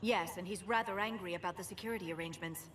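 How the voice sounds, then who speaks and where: A woman playing a thoughtful, sympathetic alien scholar in a video game, speaking in a measured way. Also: on the low side, a bit bright, slight background noise, close to an everyday voice. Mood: gentle, wry. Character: gentle, thoughtful female alien scholar and ally. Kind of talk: video game cutscene acting. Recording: slightly distant game capture.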